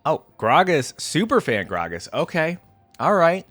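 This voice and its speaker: A man's voice: a little high, big pitch swings, unhurried, fairly bright tone, no echo. A man giving casual reaction commentary in a conversational way, thinking aloud as he goes. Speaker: gaming video creator, reactor. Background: music.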